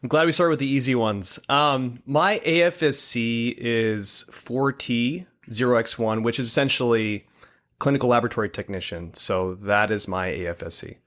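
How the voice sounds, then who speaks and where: Relaxed man from Hawaii telling stories. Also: mid-range voice, moderate pace. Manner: relaxed storytelling, laughs easily. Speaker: guest, military lab technician. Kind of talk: casual interview.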